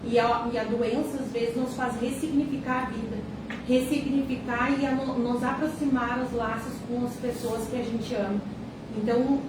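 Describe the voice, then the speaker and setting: A woman oncologist calmly explaining cancer care as a radio guest. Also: on the high side, moderate pace. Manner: calm, reassuring, explanatory. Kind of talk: explanatory interview talk. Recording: very echoey room, distant mic, noise.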